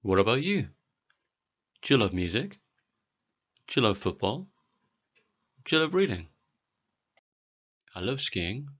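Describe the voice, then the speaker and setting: A British man in his forties teaching a beginner English lesson, speaking clearly and deliberately for learners. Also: medium pitch, big pitch swings, a bit bright, lo-fi sound. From Britain. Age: 40s.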